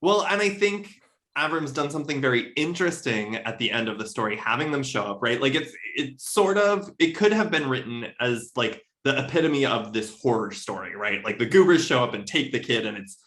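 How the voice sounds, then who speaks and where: A man's voice: mid-range voice, expressive, fairly quick, a bit bright. Man in casual, emphatic book talk. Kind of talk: casual literary discussion.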